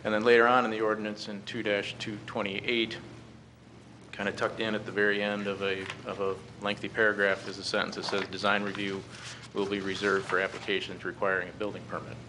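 A middle-aged American man giving a halting, measured formal briefing at a podium microphone. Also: lowish voice, flat delivery. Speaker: presenter to a city council. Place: council chamber, standing at a podium. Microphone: podium gooseneck microphone. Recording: clearly noisy meeting-room recording.

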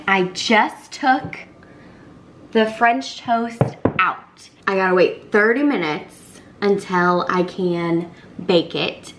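A young woman talking casually as she cooks in her home kitchen, chatting and teasing herself along the way. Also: medium pitch. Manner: chatty, self-teasing, talks while cooking. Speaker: cooking vlogger. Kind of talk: casual cook-along chat. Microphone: camera on the counter, at some distance. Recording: echoey kitchen with faint room noise.

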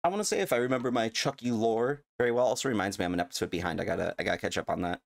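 A man's voice: lowish voice, rapid-fire, creaky. A middle-aged man streaming on a headset mic from his home setup, reacting in casual live chat, swearing freely and laughing easily.

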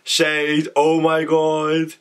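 A hoarse young man chatting excitedly in a small bedroom while reacting to a song, talking into a webcam with lots of "like" and quick giggles. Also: a little high, a little hiss or hum. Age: young adult. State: hoarse, voice strained from screaming. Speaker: music reaction vlogger. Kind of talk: casual reaction chat. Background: song playing quietly.

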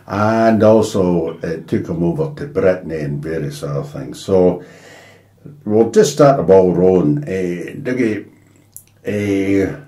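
A man's voice: sits low, warm tone. An older Scottish man interviewing a guest at a dining table at home, rambling and full of "eh" fillers. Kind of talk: casual interview chat. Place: dining room in a private house. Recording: roomy echo in a home room.

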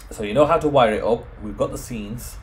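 A man's voice: medium pitch, a little fast, slight background noise, poor audio. A man presenting an informal home automation tutorial, explaining things step by step. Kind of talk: informal software screen walkthrough.

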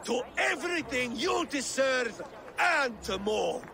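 An actor playing a pompous, scheming middle-aged Norse lord in a video game, with theatrical, grandiose delivery. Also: male voice, very high-pitched, big pitch swings, slow, gravelly, background noise, heavily acted. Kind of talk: video game cutscene acting.

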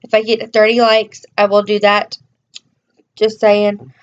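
A woman's voice: mid-range voice, monotone, a little slow. A young woman vlogging casually in her bedroom, chatty and rambling with frequent ums, showing items to a close webcam. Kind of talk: casual show-and-tell vlog. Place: bedroom, indoors. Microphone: webcam at close range. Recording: dull lo-fi webcam audio with faint hiss.

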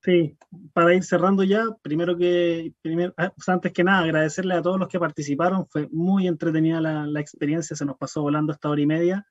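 A man with a lightly high voice gives organizer's announcements and thanks. The sound is dry and somewhat dull, as over a video call. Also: level tone, fast talker, no room sound. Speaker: event organizer closing a workshop.

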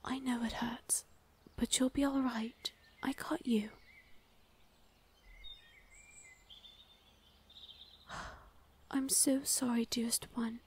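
A woman voicing a gentle, protective fae lady of the forest in an ASMR roleplay, soothing and often whispering. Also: fairly high pitch, little pitch movement, measured pace, breathy edge. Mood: soothing, apologetic.

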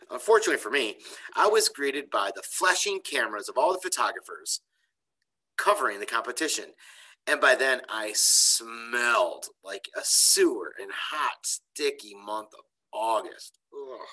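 A man's voice: medium pitch, pushed and bright, poor audio. A man in his forties, a schoolteacher, reading a children's storybook aloud with expression. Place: bright indoor school room. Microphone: computer webcam on a video call.

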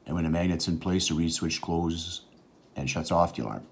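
A middle-aged man with a very low voice walks through a hands-on project in an easy, matter-of-fact way, filling pauses with the odd "uh." He talks while working at an indoor bench, the camera aimed down at his hands. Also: gravelly. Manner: plain, matter-of-fact explaining with fillers. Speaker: outdoors channel host. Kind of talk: casual how-to demonstration. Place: indoors at a workbench.

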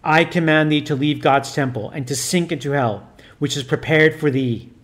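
A man's voice: on the high side, normal speed, lightly acted. Man playing a stern commanding priest. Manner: loud, commanding, formal old-fashioned speech. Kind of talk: audiobook reading.

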